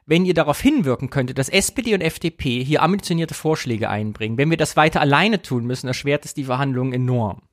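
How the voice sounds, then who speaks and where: Quick man reading a letter aloud. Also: medium pitch, animated. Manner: measured, careful reading. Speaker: co-host.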